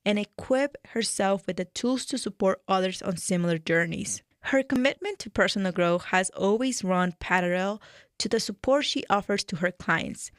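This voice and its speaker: A woman from Peru with a Peruvian Spanish accent, a therapist hosting a radio show and reading a prepared introduction in a measured, earnest way. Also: mid-range voice, no echo, studio quality. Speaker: radio show host and mental health therapist.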